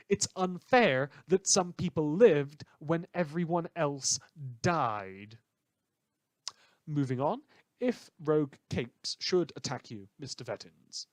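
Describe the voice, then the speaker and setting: A man playing a cynical, misanthropic radio host in a post-apocalyptic fan audio drama, speaking sardonically with dramatic, halting pauses. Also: a little high, no echo. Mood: somber, bitter, then dry, weary. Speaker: fictional radio show host.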